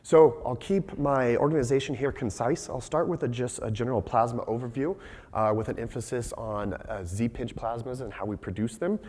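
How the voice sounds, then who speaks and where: A young man gives a scientific talk, his voice hoarse and raspy as he has been losing it. He speaks in a measured way with frequent fillers. The sound carries the long reverb of a lecture hall. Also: medium pitch, darkish tone. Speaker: PhD candidate presenter.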